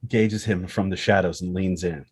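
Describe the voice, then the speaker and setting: A man's voice: sits low, fairly even intonation, moderate pace, breathy edge. A man reading stage directions as scripted narration for a screenplay table read.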